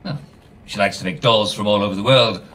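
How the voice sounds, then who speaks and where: An actor playing a British TV presenter, theatrical and hesitant, rambling through cheer that cracks into guilt and despair. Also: male voice, fairly low pitch, a little fast, fairly bright tone, far from the mic, lightly acted. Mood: chirpy, rambling. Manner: hesitant, theatrical, full of asides. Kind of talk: rambling broadcast monologue, acted.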